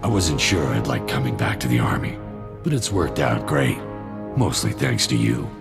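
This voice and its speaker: An actor playing a cynical, greedy mercenary soldier and arms dealer in a video game, with a gravelly voice and a wry, laid-back manner. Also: male voice, sing-song, normal speed, loud music behind the voice, heavily acted. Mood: pleased, confiding. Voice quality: very deep, gravelly. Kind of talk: video game cutscene dialogue.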